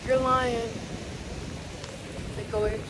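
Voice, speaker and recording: A woman's voice: fairly high pitch, little pitch movement, slow, noisy background. A woman in her twenties chatting loosely and profanely in a travel vlog, filmed on a handheld phone at a resort. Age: 20s. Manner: loose, profane, giggly banter. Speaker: vlogger. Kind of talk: casual travel vlog chat. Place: outdoors at a resort at night. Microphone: phone held at arm's length. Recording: loud music over the voice.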